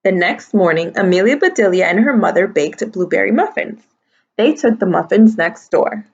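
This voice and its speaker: A woman's voice: medium pitch, lively intonation, moderate pace, vocal fry, slightly rough, lo-fi sound. A woman teacher reading a picture book aloud to young children, adding simple explanatory asides. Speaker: kindergarten and first grade teacher.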